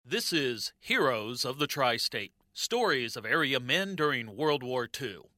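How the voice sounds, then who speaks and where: A man's voice: mid-range voice, very animated, a little slow, sharp tone, dry recording, studio quality. An American man delivering scripted documentary narration.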